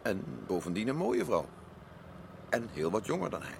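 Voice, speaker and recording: A mysterious stranger in a radio drama, with a low voice and a creaky, husky edge. He is courteous and evasive, smoothly sinister, with lively swings of intonation. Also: moderate pace, very noisy. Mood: guarded politeness. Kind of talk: Dutch radio play acting.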